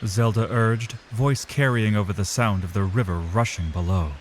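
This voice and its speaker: A man's voice: on the low side, normal speed, slight background noise. A man narrating a fantasy story in a dramatic, solemn, cinematic scripted style.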